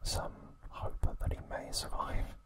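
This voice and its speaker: A man hosting an ASMR recap, speaking in a soft, breathy, unvoiced whisper with a gentle delivery. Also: a little slow. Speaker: ASMR host.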